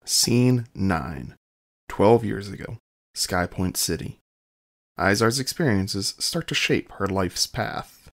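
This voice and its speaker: Gravelly male scene announcer. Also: fairly low pitch, animated, takes it slowly. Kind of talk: scripted narration.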